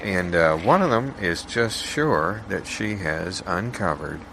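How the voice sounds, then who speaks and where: A man playing a folksy small-town official who talks haltingly and apologetically in improvised audio comedy. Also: sits low, expressive, heavily acted. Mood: hesitant. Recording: clear background noise, informal non-studio room.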